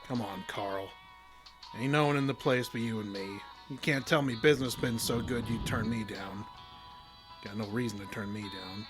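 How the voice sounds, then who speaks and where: A man's voice: fairly low pitch, normal speed, music in the background, lightly acted. Man playing a grumbling, drunken fishing guide. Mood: defensive. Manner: blunt, folksy, grumbling. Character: gruff, irritable, drunken male fishing guide, haunted barfly. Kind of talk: horror audio drama acting.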